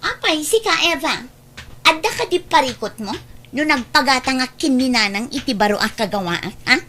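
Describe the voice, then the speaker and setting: A woman's voice: sits high, expressive, normal speed, sharp tone, slight background noise. A woman with an Ilocano accent acting a snooty, spiteful young cousin in a radio-style drama. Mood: taunting. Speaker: voice actor.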